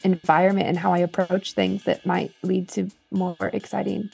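Woman podcast co-host, gravelly voice, excited casual chat, faint music behind. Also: fairly low pitch, moderate pace. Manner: enthusiastic, chatty with filler words.

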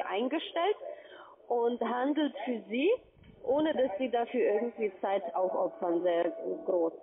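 High-voiced woman on a phone line. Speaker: telephone sales caller. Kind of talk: phone sales pitch. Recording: phone line, narrow band.

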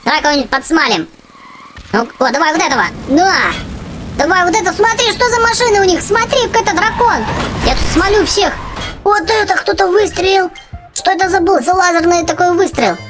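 An excitable gaming host giving casual gameplay commentary for children, often exclaiming and addressing viewers. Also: very high voice, fairly quick. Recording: music behind the voice.

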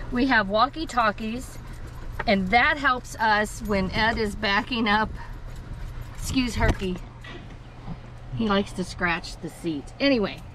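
A woman's voice: medium pitch, a little hiss or hum, low-quality recording. An older woman chatting casually as she shows off the inside of her small camper trailer, filmed up close. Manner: chatty, relaxed, gesturing as she shows things. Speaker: vlog host.

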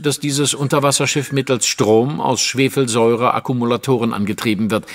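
A man acting a brilliant, self-assured gentleman detective in an audio drama, speaking with precise, theatrical, dry irony. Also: mid-range voice.

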